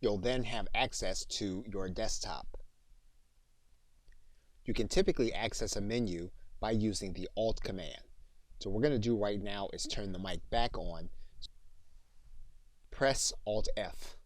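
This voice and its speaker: A man narrating an instructional software tutorial as a trainer, in an explanatory way. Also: on the low side, measured pace, long pauses, no room sound.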